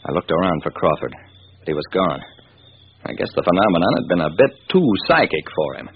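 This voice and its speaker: Vintage radio detective lead,: wry, stubborn, animated narration, thin noisy sound. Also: male voice, sits low, fairly quick, narrow, tinny audio. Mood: wry narrating. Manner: wry, hard-boiled.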